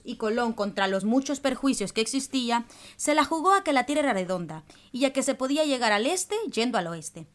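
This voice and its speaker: A woman with a fairly high voice presents on the radio. She explains in a teacherly manner, as if working from a prepared script. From El Salvador. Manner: explanatory, didactic. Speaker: radio programme host.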